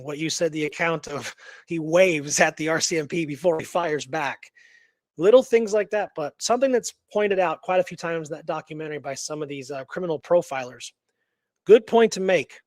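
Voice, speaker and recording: A man with a fairly high voice co-hosts a casual history discussion. He talks conversationally, thinking aloud with frequent "you know"s. The sound is very dry, with no room around it. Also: no echo. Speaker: co-host of a history show.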